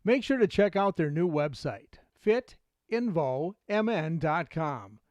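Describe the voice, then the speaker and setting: A man's voice: a little high, lively intonation, measured pace, a little mellow, no room sound. A man with an American accent reading scripted radio commercials. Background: music.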